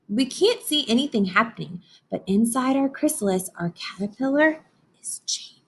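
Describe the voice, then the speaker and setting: A woman reading a children's book aloud like a teacher, expressive and instructive. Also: medium pitch, slightly rough. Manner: expressive, instructive, with dramatic pauses and hushed asides. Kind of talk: children's read-aloud with explanations and questions to listeners.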